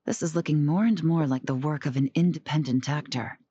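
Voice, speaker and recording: A woman playing a composed, analytical investigator in an anime video game, measured and thoughtful in delivery. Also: deep voice, mellow, slightly rough. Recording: muffled game audio under music.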